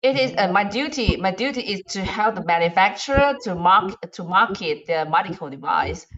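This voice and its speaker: A woman who is a native Chinese speaker with a Chinese accent, chatting casually in halting English and often repeating words. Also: medium pitch, lively intonation, a bit bright. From China. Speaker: medical device regulatory consultant. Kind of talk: casual language-practice chat. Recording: dull lo-fi online call audio.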